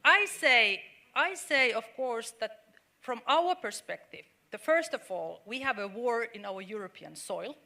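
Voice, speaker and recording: A woman's voice: fairly high pitch, animated, a little slow, creaky, pushed and bright. A middle-aged woman from Finland, speaking earnestly on a panel discussion with many fillers and restarts.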